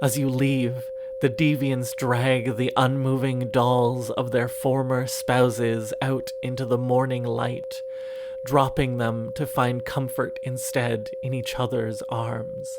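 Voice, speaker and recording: A man narrating a second-person horror story in a hushed, deliberate, literary way. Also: medium pitch, little pitch movement, heavy background noise.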